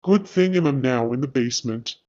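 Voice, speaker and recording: A man's voice: medium pitch, expressive, moderate pace, a little mellow, muffled recording. A young man acting out an amateur scripted skit as himself, recorded at home. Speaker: storyteller voicing himself in a homemade skit.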